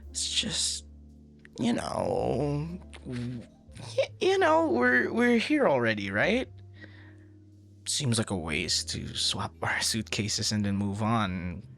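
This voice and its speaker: A man's voice: medium pitch, huge pitch swings, slow pace, long pauses, fairly bright tone, soft background music, full character voice. A man playing a shy, dorky young man in an ASMR boyfriend roleplay, stammering and bashful, smitten with a stranger. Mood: nervous, hopeful. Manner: stammering, bashful, awkward, breathy laughs and sighs.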